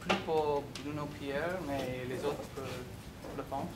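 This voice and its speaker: Halting young man with an English accent. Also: mid-range voice. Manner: halting, searching for words. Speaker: audience member. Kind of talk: hesitant question from the floor. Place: large lecture room. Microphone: far camera. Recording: echoey room from far off, background noise.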